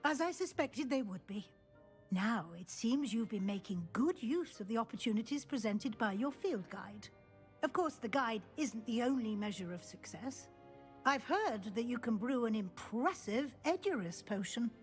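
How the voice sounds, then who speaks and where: A woman's voice: a little high, animated, soft background music, lightly acted. Woman acting a stern older female magic professor. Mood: formal, probing. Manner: formal, measured, dry. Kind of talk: video game cutscene acting.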